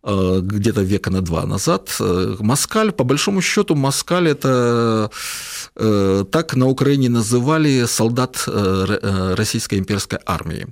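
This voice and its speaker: A man's voice: lowish voice, expressive, normal speed, no echo, clean studio sound. A man from Russia, a guest explaining at length in an interview, hesitant with many fillers and restarts. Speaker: guest analyst.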